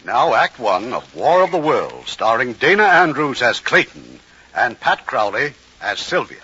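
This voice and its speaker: Male radio theater host, scripted introduction, forceful, raspy, lively intonation, muffled vintage broadcast. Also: medium pitch, measured pace, dry recording.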